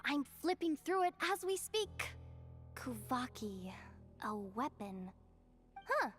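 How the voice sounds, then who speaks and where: A woman voicing an upbeat young adventurer in a video game, lively and teasing with sudden exclamations. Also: sits high, takes it slowly, soft background music, heavily acted. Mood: reading.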